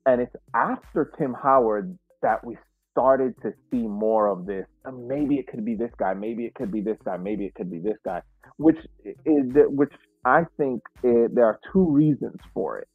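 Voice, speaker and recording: An American man co-hosting a sports podcast, giving casual, measured analysis point by point over thin, phone-like audio. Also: mid-range voice, slightly rough, no room sound, faint music behind.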